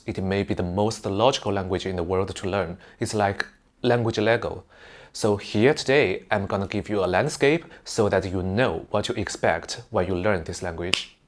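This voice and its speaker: Male host, English, fairly low voice, patient and upbeat explainer lesson. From mainland China. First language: Mandarin Chinese. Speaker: language channel host.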